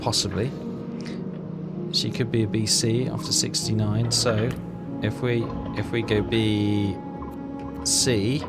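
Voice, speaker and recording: A man's voice: fairly low pitch, level tone, slow, creaky. A man doing casual let's play commentary, muttering and questioning himself as he thinks aloud. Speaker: video game player and commentator. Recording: game music behind the voice. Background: game music.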